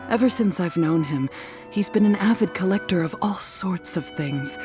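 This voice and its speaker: A sorrowful, earnest wife and mother from a video game, acted by a woman. Her voice sits a little low and sounds weary and worried, with background music clearly heard. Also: lively intonation, normal speed, lightly acted. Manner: earnest, sighing, wistful storytelling. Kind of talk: video game dialogue acting.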